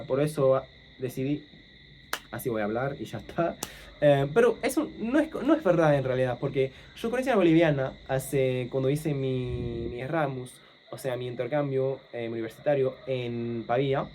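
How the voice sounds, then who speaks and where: A young English man chats to camera in Spanish that leans Argentine, his native English still underneath. His voice is mellow and dark, and he pauses often, correcting himself mid-thought. Faint insects and night sounds sit behind him. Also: medium pitch, slight background noise. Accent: English speaker with Argentine-leaning Spanish. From England. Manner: thinking aloud, many fillers and self-corrections. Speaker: language-learning vlogger. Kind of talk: casual monologue to camera.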